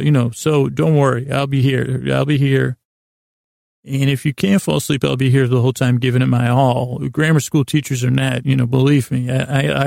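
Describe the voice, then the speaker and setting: A man hosting a sleep podcast in a creaky, gravelly voice, rambling haltingly and nonsensically with apologetic, self-deprecating asides, recorded in a small closet. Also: mid-range voice, a little mellow, no room sound, studio quality. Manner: halting, drawn-out, meandering delivery with repeated self-interruptions. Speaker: podcast host.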